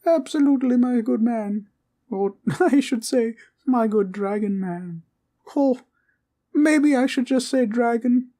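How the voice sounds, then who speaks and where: A woman playing a sweet, innocent talking teddy bear in a comfort roleplay, speaking in a gentle, quaint, reassuring way. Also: a little high, animated, measured pace. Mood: polite, playful. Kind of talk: roleplay comfort audio acting.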